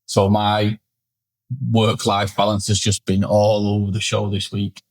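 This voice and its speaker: Man, low voice, tired and blunt, casual podcast co-host who swears and mocks himself. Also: completely flat delivery, a little slow. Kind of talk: casual chat. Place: indoor studio setup, seated.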